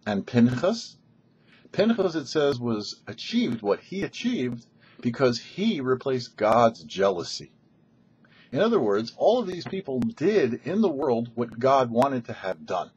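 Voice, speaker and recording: A man's voice: medium pitch, sing-song, a little slow, darkish tone, breathy edge, muffled recording. A man speaking as a rabbi and show host, giving a short scripted religious teaching in a didactic way with rhetorical questions. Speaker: rabbi, host of a weekly Torah portion show.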